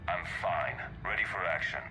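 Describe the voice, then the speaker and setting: An actor playing a curt, menacing, vengeful cyborg assassin in a video game. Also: male voice, low voice, moderate pace, music in the background, natural voice, poor audio. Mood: curt, resentful. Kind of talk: video game cutscene acting.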